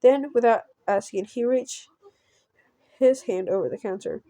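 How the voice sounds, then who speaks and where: A woman hosting a game channel reads a visual novel aloud with casual commentary. Her voice is slightly high and mellow, and she goes slowly, repeating words as she stumbles, with a giggly manner. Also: no room sound. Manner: halting reading, stumbling over and repeating words. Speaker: gaming channel host.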